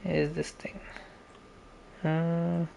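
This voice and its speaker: A man's voice: a little high, monotone, very slow, vocal fry, dark tone. A man chatting casually as a live game streamer, rambling and repeating phrases as he thinks.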